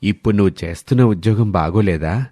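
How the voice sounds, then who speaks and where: A man's voice: lowish voice, fast talker, mellow, dry recording, studio quality, close to an everyday voice. A man voicing an old, stubborn but tender-hearted village father in scripted story dialogue. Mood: sad, tender.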